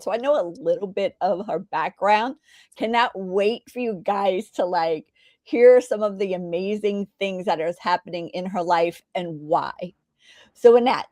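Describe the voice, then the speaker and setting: A woman's voice: medium pitch, darkish tone, no echo. Enthusiastic woman interview host, dry sound. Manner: enthusiastic, chatty. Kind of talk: casual interview hosting.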